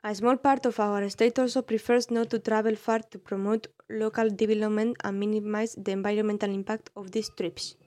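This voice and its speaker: Young woman, mellow voice. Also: mid-range voice, little pitch movement, fairly quick, hardly any pauses, dry recording, clean studio sound. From Spain. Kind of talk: reading prepared answers.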